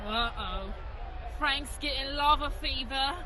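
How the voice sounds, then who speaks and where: A sarcastic shape-shifting sidekick, played by a woman, in a radio adventure. The voice is high and very bright, flippant and full of slangy wisecracks. Music plays behind it. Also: slow, a faint crowd, slight background noise, full character voice. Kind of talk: radio drama acting.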